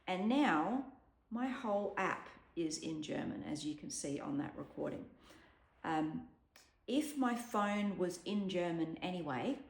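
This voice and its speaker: A woman's voice: lowish voice, a little mellow. A woman casually walking through an app demo at home, chatty and explanatory with frequent ums. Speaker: app tutorial presenter. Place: home room with a dog around. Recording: echoey room.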